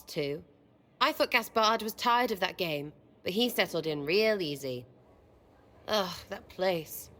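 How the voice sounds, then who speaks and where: Young commoner rogue, female, for a fantasy game: irreverent, scornful, forceful, slightly low. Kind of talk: video game cutscene acting.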